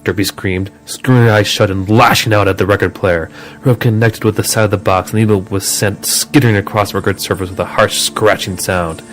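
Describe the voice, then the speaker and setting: A man with a low voice narrates a scripted horror story. He tells it dramatically, as the narrator of an audio drama. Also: fairly even intonation, fairly quick.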